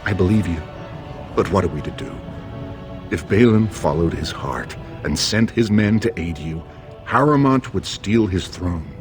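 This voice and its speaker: An actor playing a formal, scheming dwarf royal advisor in a fantasy video game, speaking in measured, diplomatic phrases. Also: male voice, low-pitched, animated, over music. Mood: concerned, persuasive.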